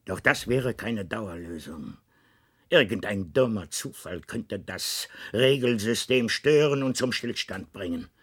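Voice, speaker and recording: A man acting in a science fiction radio play as the grave, lonely president of an underground state, speaking in a formal, measured, solemn way with weighted pauses. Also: on the low side, expressive, fairly bright tone, breathy edge, exaggerated voice. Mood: grave, deliberate.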